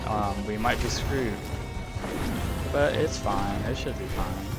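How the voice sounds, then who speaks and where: A man's voice: lowish voice, slow pace. A young man giving casual, rambling commentary while playing a game, swearing and saying "dude" often. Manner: casual, swears, says "dude" a lot, talks to himself. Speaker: gaming video creator. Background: loud game music.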